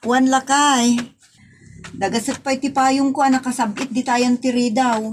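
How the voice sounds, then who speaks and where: A woman's voice: high voice, steady tone, slight background noise. A woman playing a busy, scattered middle-aged wife in an amateur comedy skit who chats through her excuses. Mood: hurried. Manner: chatty, quick explanations.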